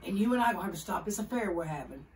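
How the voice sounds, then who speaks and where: A woman being interviewed in a casual chat, joking around and trailing off into drawn-out fillers. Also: lowish voice, animated, a little mellow.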